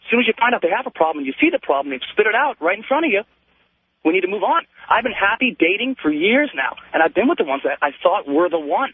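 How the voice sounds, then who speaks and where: Fast, animated high-voiced man on phone. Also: slight background noise. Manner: emphatic, repeats phrases in lists. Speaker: radio show caller. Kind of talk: casual opinionated phone-in rant. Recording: tinny phone line.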